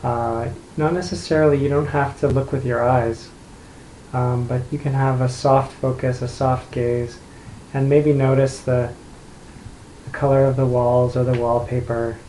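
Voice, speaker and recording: A man leading an unscripted guided meditation, speaking gently and unhurriedly with long pauses between phrases. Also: medium pitch, flat delivery. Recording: roomy echo, light background noise.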